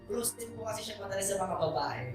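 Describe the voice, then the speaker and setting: A man's voice: high-pitched, lively intonation, quick pace, music in the background. A man answering at a press conference, politely explaining with fillers. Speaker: interviewee. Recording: echoey room, far from mic.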